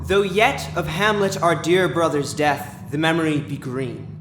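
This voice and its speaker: A man's voice: fairly high pitch, a little slow, echoey room, noisy background, close to an everyday voice. A man playing a smug, jovial king addressing his court in a theatrical Shakespearean audio drama. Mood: stately, solemn. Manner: theatrical, declamatory.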